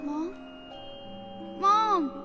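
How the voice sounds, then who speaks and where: A woman voicing a polite, earnest, wide-eyed young boy hero in an animated fantasy game, innocent and well-mannered. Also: extremely high pitch, drawn-out words, music in the background, full character voice. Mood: bewildered, pleading.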